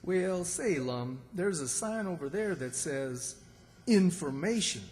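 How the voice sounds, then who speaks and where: A man's voice: a little high, lively intonation, takes it slowly, a little mellow. A man playing a naive, timid country character with a rural Southern accent in old-time radio comedy, folksy and hesitant. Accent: American South, rural. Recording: echoey hall, long reverb.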